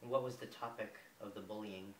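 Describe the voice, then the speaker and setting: A man with a fairly low voice asks brief, probing interview questions. He sounds distant from the mic in an echoey room with faint background noise. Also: steady tone, moderate pace.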